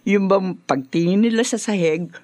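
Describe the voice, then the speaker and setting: An actress playing a proud, chatty, bustling middle-aged mother in a Filipino radio drama, animated and colloquial. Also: medium pitch, normal speed, no echo, close to an everyday voice. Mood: proud, cheerful.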